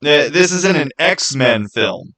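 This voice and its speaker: A man's voice: fairly high pitch, animated, slow pace, pushed and bright. A man hosting a livestream, chatting casually and answering viewers in a rambling, conversational way. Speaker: livestream host.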